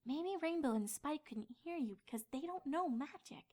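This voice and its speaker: A woman's voice: high voice, animated, normal speed, a little mellow. A woman voicing an earnest, easily indignant young female cartoon unicorn in an insistent, bristling manner. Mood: explaining. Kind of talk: cartoon fan-fiction voice acting.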